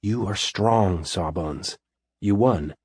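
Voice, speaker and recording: A man voicing a calm male archer hero who speaks softly and soothingly. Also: sits low, fairly quick, vocal fry, husky, no room sound, heavily acted. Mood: gentle. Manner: soft, soothing.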